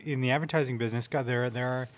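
A man's voice: medium pitch, steady tone, rapid-fire. A man, chatting casually as a podcast interviewer.

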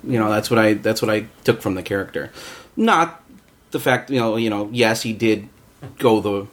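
A man's voice: fairly low pitch, moderate pace. A man talking casually as a voice actor guest in a round-table chat, informal and rambling, padding his thoughts with "you know".

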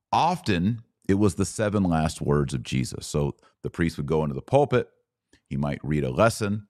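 Male podcast host, very low voice, dry close sound, explanatory religious talk, conversational teaching manner, emphatic repeats. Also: darkish tone, no room sound. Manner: didactic, conversational, emphatic restatements.

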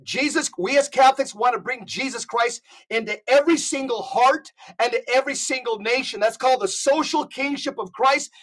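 Male podcast guest, emphatic preacher's delivery, spells out details slowly. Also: very high voice, fairly bright tone. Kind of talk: podcast conversation.

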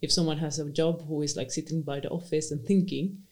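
Young woman hosting a chat, warm low tone, lots of "like". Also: fairly even intonation, brisk. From Sweden. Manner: chatty, filler-heavy, reflective. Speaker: interviewer. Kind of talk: casual conversation.

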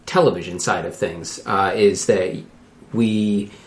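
Halting, low-voiced man in an echoey room. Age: late thirties. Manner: halting, searching for words. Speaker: podcast host. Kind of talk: casual TV recap discussion.

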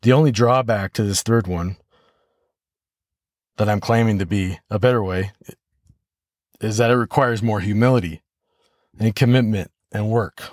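An American man hosting a podcast solo, talking reflectively and haltingly as he thinks aloud. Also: on the low side, darkish tone, no room sound, clean studio sound. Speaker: podcast host.

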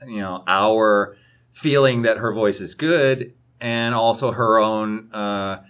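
A man hosting a podcast, explaining his view in a measured, conversational way. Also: lowish voice, narrow, tinny audio. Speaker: podcast host. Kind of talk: casual chat.

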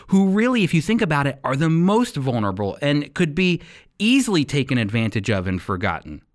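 Earnest male radio Bible teacher, lively intonation. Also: fairly high pitch. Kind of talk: sermon-like radio teaching and scripted narration.

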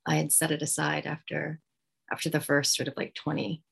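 Middle-aged woman author, reflective interview, deep fry in the voice, hesitant. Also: monotone. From United States. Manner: thoughtful, halting, many ums. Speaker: author guest.

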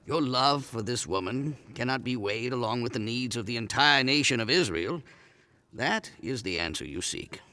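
Stern pompous rabbi, sharp forceful voice, big swings in pitch, bible radio play. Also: male voice, expressive, moderate pace, clean tone, no room sound. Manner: pompous, declamatory. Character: stern, unbending male rabbi, pompous judge of law. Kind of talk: dramatized biblical audio drama.

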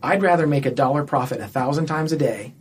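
A man's voice: fairly high pitch, quick pace, breathy edge. A man in a casual podcast discussion, making his case with rhetorical questions and little acted-out quotes. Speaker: podcast guest or co-host.

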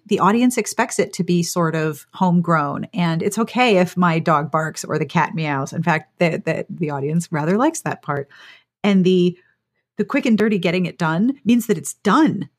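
A woman hosting a casual interview podcast, chatting in a self-deprecating, jokey way and acting out little exchanges with herself, recorded close on a microphone. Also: on the low side. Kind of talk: casual conversational interview chat. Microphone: close microphone inside a foam-lined box.

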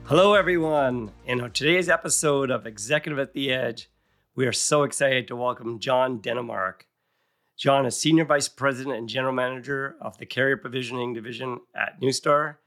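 A man's voice: mid-range voice, fairly quick, fairly bright tone, soft background music. A man hosting a podcast interview, upbeat and welcoming toward his guest. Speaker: podcast host.

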